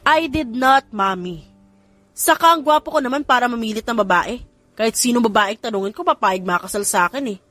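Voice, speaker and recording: A woman's voice: fairly high pitch, a little fast, a bit bright, dry recording. A woman with a Filipino accent voicing a vain, cheeky adult son in an audio romance story, boastful and self-assured. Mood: boastful, mock-hurt.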